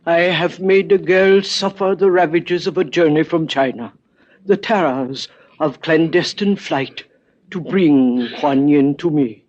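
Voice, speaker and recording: A wealthy, scheming gentleman collector from a 1950s radio drama. His voice sits a little high, and he speaks with oily, ornate formality. The old recording is dull. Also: measured pace. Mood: reverent, commanding. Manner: flowery, formal, elaborately courteous. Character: suave, obsequious, scheming wealthy male collector.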